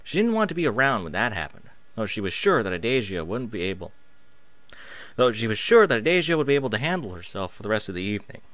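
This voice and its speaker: A man's voice: lowish voice, sing-song, fast talker, a bit bright, no echo. A man narrating an action story as a scripted, dramatic reading with emphatic phrasing. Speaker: narrator reading fan fiction aloud.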